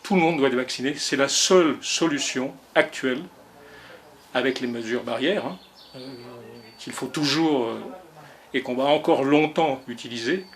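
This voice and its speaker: A man's voice: medium pitch, normal speed. A man, a doctor, giving a firm, emphatic interview answer about vaccination.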